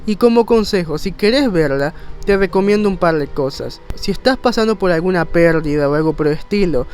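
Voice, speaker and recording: A man's voice: sits high, lively intonation, brisk, darkish tone. A man narrates an informal countdown video. He explains things in a wordy, conversational way. Faint music plays behind him. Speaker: video narrator, content creator.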